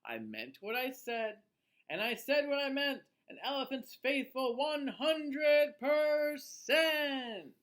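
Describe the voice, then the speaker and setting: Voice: high voice, big pitch swings, slow pace, a bit bright, pitched above the performer's own voice, exaggerated voice. Horton, an earnest faithful elephant read by a storyteller. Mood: steadfast. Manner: earnest, steadfast.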